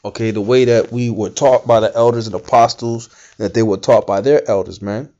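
A man's voice: fairly low pitch, steady tone, normal speed, warm tone, lo-fi sound. A man giving informal scripture teaching, rambling with many fillers and restarts. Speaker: Bible teacher.

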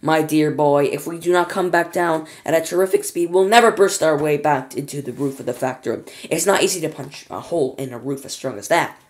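A reader voices an eccentric chocolate-making inventor from a storybook. He is excitable and hurried, talks in exclamations and addresses people with grand politeness and boastful confidence. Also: medium pitch, natural voice. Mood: assured.

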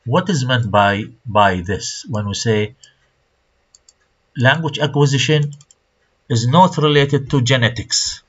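A man's voice: mid-range voice, slow pace, pauses often, slightly rough. A man with an Iraqi Arabic accent giving a recorded online lecture. He repeats key phrases and puts rhetorical questions to his students. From Iraq. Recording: dull lo-fi screen-recorded lecture audio.

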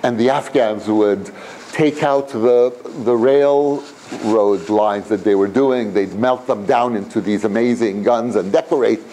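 An older man born and raised in Iran, telling his life story to a lecture room, relaxed and rambling, with lots of fillers and restarts. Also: fairly low pitch, measured pace, slight background noise, low-quality recording. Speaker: guest speaker. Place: lecture room with projector screen.

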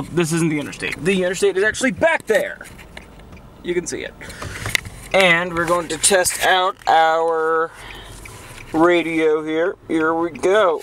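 A man's voice: a little high, a bit bright. A young man in his late teens giving a casual, unscripted hands-on demonstration, recorded on a handheld camera inside a car. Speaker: hobbyist vlogger demonstrating his CB radio. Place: inside a car, outdoors parking area. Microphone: handheld camera's built-in mic. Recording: noisy camera audio with vehicle ambience.

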